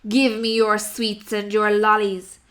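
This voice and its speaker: Woman voicing a loud, bullying storybook highwayman rat. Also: monotone, takes it slowly, smooth. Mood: bellowing, imperious. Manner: loud, bellowing, commanding and scornful. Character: fearsome, greedy, bullying male rat highwayman. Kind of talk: storybook character voice.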